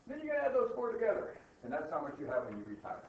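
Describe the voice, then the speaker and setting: A man's voice: a little high, wildly swooping pitch, rapid-fire. Echoey, distant man teaching informally. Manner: conversational, checks understanding with short questions. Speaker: finance teacher. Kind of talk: informal classroom explanation, thinking aloud. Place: indoor classroom. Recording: echoey room, distant mic, muffled, faint noise.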